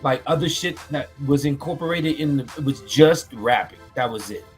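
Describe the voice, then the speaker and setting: A man co-hosting a casual music talk show, animated and loose, often restarting his sentences mid-thought. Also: mid-range voice, normal speed. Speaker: co-host. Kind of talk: casual chat.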